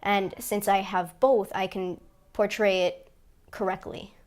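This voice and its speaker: A woman's voice: medium pitch, little pitch movement, unhurried. An eighteen-year-old woman, a music therapy student, speaking sincerely in a documentary interview. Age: young adult.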